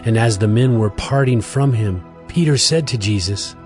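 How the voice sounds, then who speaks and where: A man narrating scripture from a script as a measured storyteller. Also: mid-range voice, darkish tone. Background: music.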